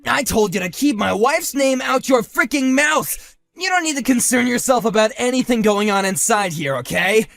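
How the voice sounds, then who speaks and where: A man voicing a hotheaded bully husband who shouts, whines and stammers when cornered, in a one-man voiced drama. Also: fairly high pitch, lively intonation, fast talker, few pauses, dry recording, clean studio sound, faint music behind, close to an everyday voice. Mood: furious. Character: hotheaded male bully husband, panicky and blustering.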